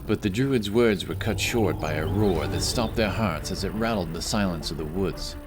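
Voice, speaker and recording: Male narrator, low voice, scripted fantasy storytelling, dramatic adventure style, music underneath. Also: moderate pace.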